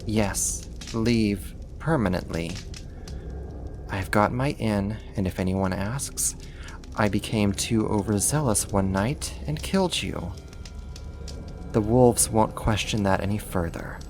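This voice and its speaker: A voice actor playing a sadistic, haughty half-spider monster in an ASMR horror roleplay, talking slowly and condescendingly in a theatrical way. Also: low-pitched, darkish tone, faint music behind. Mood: irritated, dismissive.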